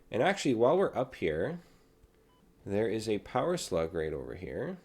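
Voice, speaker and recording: A low-voiced young man, hoarse and raspy from a cold, chatting easily as he plays. His tone is dark and mellow, and he talks at a desktop mic. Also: measured pace. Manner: relaxed, chatty, talks while playing. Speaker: gaming channel host. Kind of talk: casual gameplay commentary.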